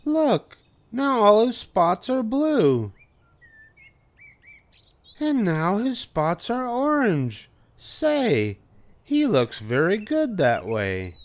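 A man's voice: high-pitched, huge pitch swings, drawn-out words, long pauses, voice pushed up, heavily acted. An older man voicing curious, skeptical, then admiring young children in a picture book, in a singsong, exclaiming way. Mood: impressed. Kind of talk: picture book read-aloud.

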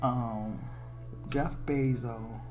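A woman's voice: a bass voice, fairly even intonation, very slow, over music. An American woman giving a casual, chatty tarot reading, musing aloud in informal, slangy, salty language. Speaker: tarot reader.

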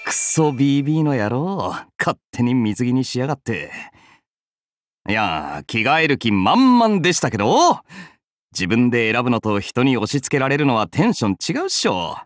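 Male actor for young game archer: roguish, wry, teasing slacker, casual drawl, animated intonation, dry studio sound. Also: mid-range voice, no room sound, close to an everyday voice. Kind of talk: Japanese mobile game character voice lines.

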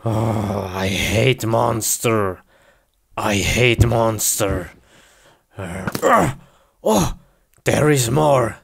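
A man voicing a hulking, angry brute who grunts and speaks in simple, broken phrases for an animated block-game cartoon. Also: on the low side, very slow, long pauses, exaggerated voice. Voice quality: gravelly grunting.

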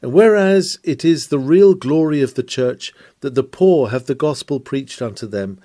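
A man reading an old-fashioned sermon aloud in an emphatic, declamatory manner with deliberate phrasing. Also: medium pitch, warm tone, no echo, clean studio sound. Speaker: sermon reader. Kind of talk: dramatic read-aloud of a 19th-century sermon.

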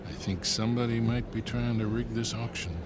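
A man playing a gruff, wry older American con man and mentor in a video game cutscene, grumbling in a folksy way. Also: medium pitch, little pitch movement, brisk, close to an everyday voice. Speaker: game character voice actor. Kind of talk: video game cinematic acting. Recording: loud background music.

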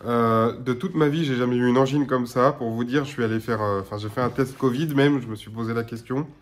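A man in his thirties with a blocked nose and slightly hoarse voice, chatting casually to his webcam at home as a gaming YouTuber, rambling with lots of filler sounds. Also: mid-range voice, fairly even intonation, fairly quick, a little hiss or hum. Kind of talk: casual to-camera chat. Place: small room at home.

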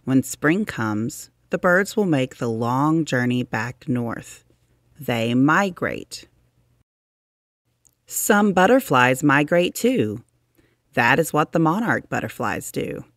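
A narrator reading a children's science book aloud, deliberately and expressively, with drawn-out emphasis for young listeners. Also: mid-range voice, very animated, a bit bright, dry recording, clean studio sound.

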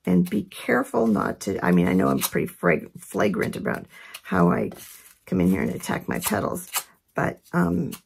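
A woman with a hoarse voice from a head cold, giving a relaxed, chatty craft tutorial at her work table. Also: low-pitched, normal speed, a little hiss or hum. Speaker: craft instructor. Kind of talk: casual step-by-step tutorial.

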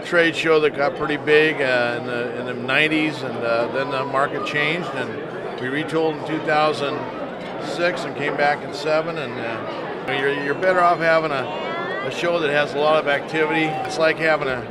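Older man over loud crowd noise. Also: on the high side, fairly even intonation, normal speed. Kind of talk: interview at a trade show. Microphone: handheld interview mic.

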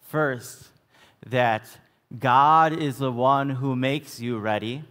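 Slow-paced American pastor in a reverberant church. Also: male voice, mid-range voice, clean tone. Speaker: Lutheran pastor. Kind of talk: sermon. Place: church sanctuary, at the pulpit.

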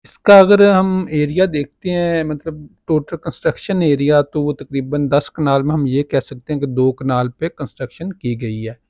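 A man's voice: on the high side, fairly even intonation, normal speed, hardly any pauses, a little mellow, no echo. A man with a Pakistani Urdu accent gives a casual walking tour of a farmhouse as a property agent, pointing things out as he goes with many fillers. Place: outdoors on a farm property, walking.

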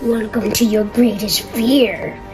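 A woman's voice: on the high side, fairly even intonation, takes it slowly, over music. A woman voicing a menacing villain who embodies fear, in a comedy parody.

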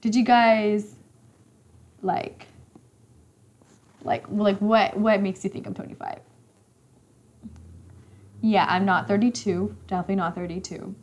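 A young woman in her mid-twenties hosts a casual live stream from an indoor room, her voice a bit raspy today. She chats easily and teases her viewers as she reads their comments, with a light laugh never far off. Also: medium pitch, fairly even intonation, a little slow, pauses often, darkish tone, highs cut off. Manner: chatty, teasing, laughs often. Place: indoor room with leather couch.

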